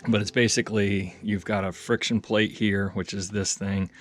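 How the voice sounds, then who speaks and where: Man, low voice, casual repair vlogger, folksy, rambling, thinking aloud. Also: fairly even intonation, moderate pace, soft background music. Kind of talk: casual hands-on repair commentary.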